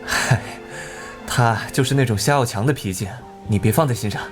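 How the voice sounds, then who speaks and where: A man playing an easygoing, considerate young male student in an animated drama, speaking in a casual, soothing way. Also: medium pitch, normal speed, faint music behind, close to an everyday voice. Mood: reassuring.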